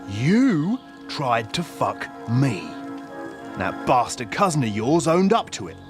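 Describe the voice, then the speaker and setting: An actor playing a sneering, menacing medieval bandit chief in a video game, coarse and mocking. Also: male voice, medium pitch, very animated, slow pace, music in the background. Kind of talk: video game cutscene acting.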